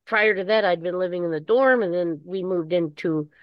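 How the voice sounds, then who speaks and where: An older woman with a Midwestern American accent, chatting casually and rambling in a halting way. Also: on the low side, no room sound. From Michigan, United States. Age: 60s or older. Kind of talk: casual chat. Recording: dull lo-fi call audio.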